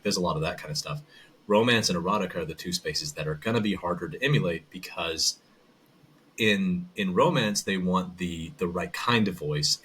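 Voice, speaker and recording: Deep-voiced man, rambling and bright. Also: slight background noise. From American South. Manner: rambling, loose storytelling with many restarts. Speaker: voice actor and audiobook narrator, guest. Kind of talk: casual interview chat.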